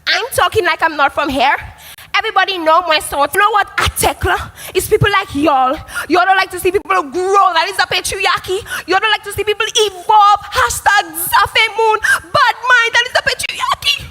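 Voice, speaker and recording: A woman doing a shrill, melodramatic influencer. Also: moderate pace, bright, forceful tone. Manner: melodramatic, fake-crying, shrieking laughs. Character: vain self-absorbed female social media influencer. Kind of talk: comedic livestream parody. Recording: long reverb.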